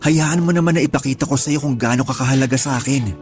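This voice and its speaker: An actor with a Filipino accent playing a sincere, gently insistent restaurant manager in love, in a radio drama. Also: male voice, medium pitch, fast, soft background music, close to an everyday voice. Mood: earnest, pleading. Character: earnest male restaurant manager, persistent smitten suitor.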